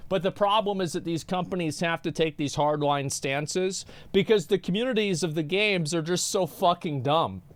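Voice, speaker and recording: A man rambles through his reactions on a live stream, swearing freely and stumbling over repeated words. His voice sits a bit high. The sound is dry and close. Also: clean tone, dry recording. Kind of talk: casual live-stream commentary.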